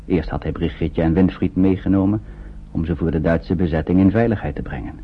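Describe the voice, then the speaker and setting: A man narrates a scripted radio drama in a measured, storytelling way. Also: deep voice, slight background noise. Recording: muffled narrow-band old radio recording.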